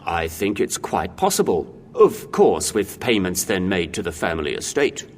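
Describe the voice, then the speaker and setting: A stern old cinema usher, loyal and given to scolding. His voice is low, with formal, emphatic phrasing. It carries through a reverberant hall over faint noise, as in a radio play. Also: big pitch swings, normal speed. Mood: proud, assured. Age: older. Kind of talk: audio drama acting.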